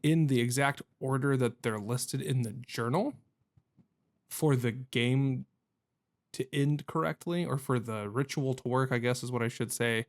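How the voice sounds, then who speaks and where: A man's voice: medium pitch, a little slow. A man giving casual let's play commentary on a video game, thinking aloud in a hesitant, self-questioning, rambling way.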